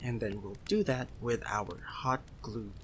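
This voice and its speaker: A man's voice: mid-range voice, measured pace, a little hiss or hum, close to an everyday voice. A man playing a giddy, homesick young friend in an ASMR roleplay, chatting casually with a giggly, hesitant manner. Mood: cheerful, instructing. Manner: giggly, hesitant, softly excitable. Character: giddy, homesick young male Filipino friend, sweet and apologetic. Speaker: audio roleplay performer.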